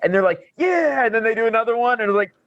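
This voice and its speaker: A man's voice: extremely high pitch, expressive, fast, a bit bright, dry recording, muffled recording. A man chatting casually as a podcast co-host, swearing freely and poking fun at himself by acting out imagined quotes. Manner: sweary, self-deprecating, acts out imagined quotes.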